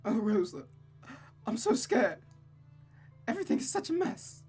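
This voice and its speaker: A woman's voice: fairly high pitch, huge pitch swings, unhurried, darkish tone, faint music behind, pitched above the performer's own voice, full character voice. A woman voicing a frightened, distraught young woman who stammers and pleads as she unravels, in a dramatic visual novel reading. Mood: frightened, distressed.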